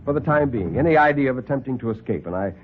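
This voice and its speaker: Husky, animated male radio storyteller. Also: medium pitch. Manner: suspenseful, theatrical, with deliberate pauses. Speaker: storyteller and actor. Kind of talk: dramatic first-person classic radio horror narration. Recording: muffled old radio broadcast recording.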